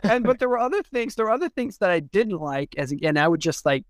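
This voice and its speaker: A man in a casual podcast discussion as an editor, talking in a halting, rambling way and repeating words as he forms thoughts. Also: high-pitched, very animated, no echo, studio quality. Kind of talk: casual discussion with a short read-out.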